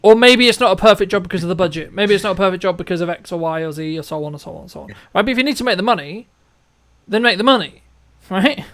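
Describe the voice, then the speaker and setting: A man with a notably high voice talks as an interviewer and coach. He gives conversational advice emphatically, repeating phrases and punctuating points with short checking questions. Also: quick pace, fairly bright tone. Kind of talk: conversational interview, advising viewers.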